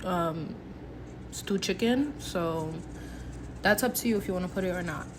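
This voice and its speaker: A woman's voice: on the low side, a little slow, noisy background. A woman giving a casual, playful cooking tutorial, chatting to viewers informally as if they were friends. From Haitian background. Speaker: home cook, recipe vlogger.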